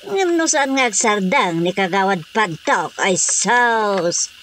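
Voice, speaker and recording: A woman's voice: medium pitch, lively intonation, slow, a bit bright. A woman playing an outspoken, nagging but concerned village woman in radio drama comedy, with a northern Philippine accent. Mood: gossipy, concerned. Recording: faint cricket-like background noise.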